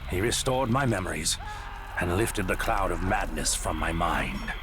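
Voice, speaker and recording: A man's voice: sits low, animated, normal speed, over music. An actor playing a sombre, guilt-ridden father narrating a fantasy game tale in a grave, regretful manner. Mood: sorrowful. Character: sombre, guilt-ridden father recounting his dark bargain.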